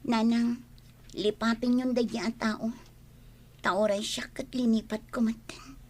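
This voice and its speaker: A woman's voice: fairly high pitch, fairly even intonation, unhurried, a little mellow. A woman playing an aggrieved grown daughter in an audio drama, earnest and restrained as she reasons with her mother. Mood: hurt, earnest.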